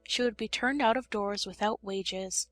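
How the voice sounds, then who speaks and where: A woman reading a children's story as an expressive audiobook narrator. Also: mid-range voice, a little slow, soft background music.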